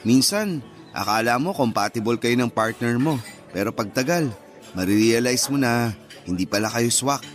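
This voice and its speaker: Actor as earnest young suitor, mid-pitched male voice. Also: expressive, moderate pace, soft background music, natural voice. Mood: casual. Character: earnest, hopeful young single man courting old sweetheart. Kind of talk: radio romance drama dialogue.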